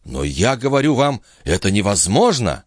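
A man doing a shrill, outraged stationmaster. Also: big pitch swings, normal speed, dry recording, clean studio sound. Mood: indignant. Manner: shrill, shouting, protesting. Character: flustered, outraged male post-station keeper, easily alarmed.

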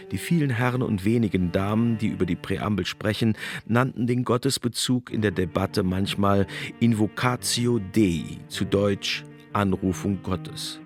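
A man reading scripted narration for a radio documentary in a measured, essayistic storytelling manner. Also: fairly low pitch.

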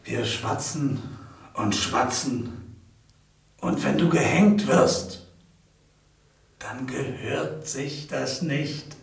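A gravelly, coarse convict hangman. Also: medium pitch, extremely slow, pauses often. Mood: suspicious, uneasy. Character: coarse, wary convict man who serves as prison hangman. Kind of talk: German radio play acting.

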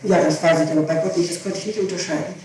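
A woman's voice: on the low side, fairly even intonation, racing, darkish tone. A woman testifying under questioning as a defendant, hesitant and evasive, often repeating her phrases. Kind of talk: courtroom testimony. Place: large echoing room, distant mic. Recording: echoey, noisy archival tape from far off.

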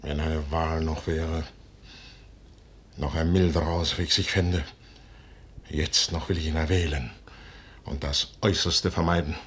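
An actor playing a proud, brooding army commander in a 1950s German radio drama, declaiming gravely and deliberately with a gravelly voice. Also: male voice, very deep voice, little pitch movement, fairly bright tone. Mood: torn, brooding. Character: proud, brooding male army commander torn before a fateful decision.

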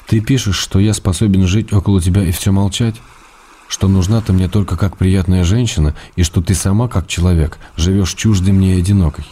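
Actor as a weary husband, very deep voice. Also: male voice, warm tone, slight background noise, natural voice. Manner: measured, reproachful yet affectionate. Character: weary, exasperated, loving writer husband answering by letter. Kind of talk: dramatic reading of a letter in a radio play.

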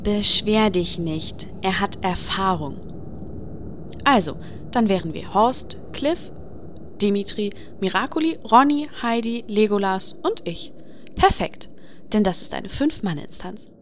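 A woman's voice: medium pitch, lively intonation, a little slow, a little hiss or hum, close to an everyday voice. A woman acting a chatty, vain, organizing adventurer in a comedic fantasy game audio drama. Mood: breezy, assertive.

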